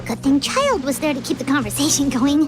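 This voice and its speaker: A woman voicing a small, childlike fairy companion in a video game, squeaky, excitable and nosy, with exclamatory, expressive delivery. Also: fairly quick, over music. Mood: relieved, curious.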